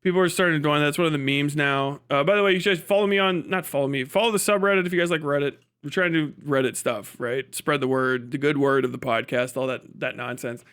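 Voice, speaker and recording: An American man with a fairly high voice talks off the cuff to his livestream. He is irreverent and profane, joking his way through loose, casual commentary. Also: quick pace, hardly any pauses, fairly bright tone, clear, resonant tone. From United States. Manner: breaks often into chuckles. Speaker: livestreamer and podcast host.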